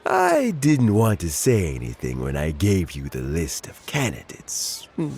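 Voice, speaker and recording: An actor playing an elderly, shrewd male official and mentor in a video game, speaking in a deliberate, measured way. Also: low voice, huge pitch swings, vocal fry, darkish tone, slight background noise, heavily acted. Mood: measured, knowing.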